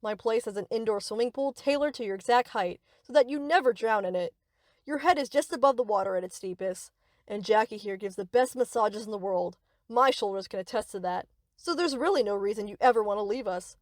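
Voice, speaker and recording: A woman's voice: mid-range voice, fairly quick, no room sound, studio quality, close to an everyday voice. A woman playing a young yandere captor in a scripted roleplay, speaking to the listener with cutesy, rambling, possessive affection. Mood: sweetly possessive. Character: sweet, obsessive yandere best friend, young woman captor.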